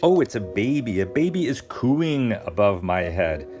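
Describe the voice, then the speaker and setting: A man voicing startled picture-book neighbours, with exaggerated, drawn-out, sing-song exclamations. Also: fairly low pitch, sing-song, music in the background. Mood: amazed.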